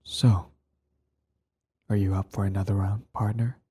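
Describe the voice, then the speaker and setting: A man playing a former hero turned villain in an ASMR roleplay, earnest and bitter, speaking in a measured, intense way with dramatic pauses. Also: lowish voice, level tone, warm tone, slightly rough. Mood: earnest, persuasive.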